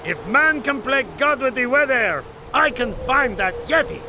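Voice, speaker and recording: An actor playing a ruthless, obsessed mountain guide and hunter, cold and determined, in a pulp adventure audio drama. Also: male voice, very high voice, animated, a little slow. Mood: cold defiant. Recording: loud blizzard noise behind voice.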